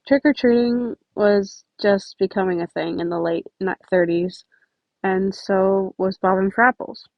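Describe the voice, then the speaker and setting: A woman's voice: mid-range voice, fairly even intonation, a little slow, creaky, no echo, lo-fi sound. A woman voicing a guarded, blunt teenage boy in a storybook reading, with a curt, sardonic manner. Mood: dry, sardonic.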